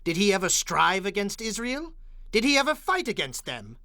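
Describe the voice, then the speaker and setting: A man's voice: high-pitched, huge pitch swings, moderate pace, pushed and bright, no room sound, studio quality, exaggerated voice. A man playing a commanding Israelite war leader and anguished father in a dramatized audio Bible, speaking in formal, emphatic declamation. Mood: indignant, forceful.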